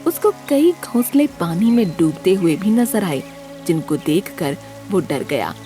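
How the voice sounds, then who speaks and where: A woman narrating a scripted children's story in an expressive storytelling manner. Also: lowish voice, unhurried, faint music behind.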